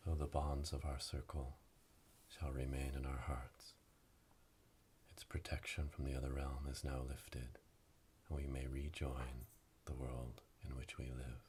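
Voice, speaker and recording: Casting: male performer as solemn coven witch for ASMR roleplay, very deep, hushed breathy whisper, flat ceremonial delivery. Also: unhurried, a little hiss or hum. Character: solemn witch elder leading a coven initiation. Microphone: close binaural microphone.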